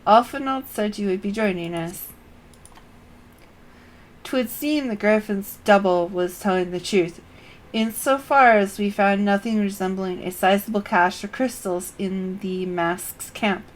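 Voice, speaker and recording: A stern old scholar mage speaking in stiff, archaic phrases, read out by a woman in her own voice. The words come steady and projected, with plain pitch and little put-on color. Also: a little slow, natural voice, rough recording. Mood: earnest. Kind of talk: reading game dialogue aloud.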